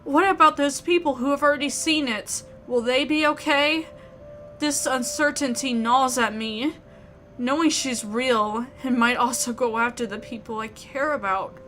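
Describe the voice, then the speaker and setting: A woman reading a story aloud in an expressive, theatrical way. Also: sits high, unhurried. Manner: theatrical, emotive. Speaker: video game player reading a visual novel aloud. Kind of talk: expressive dramatic read-aloud narration. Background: background music.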